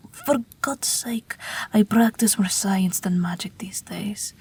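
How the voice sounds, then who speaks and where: A woman's voice: mid-range voice, full character voice. A woman voicing an ageless Russian night witch pilot, wry, tender and weary, in an intimate, whispery audio roleplay. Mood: wry, exasperated. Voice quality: breathy, whispery. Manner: gentle, dryly wry, unhurried.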